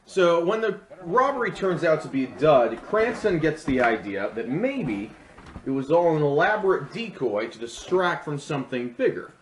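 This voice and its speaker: A man's voice: fairly high pitch, very animated, moderate pace. A man giving a comedic film review with sarcastic, theatrical delivery. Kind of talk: comedic scripted review commentary. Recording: echoey room.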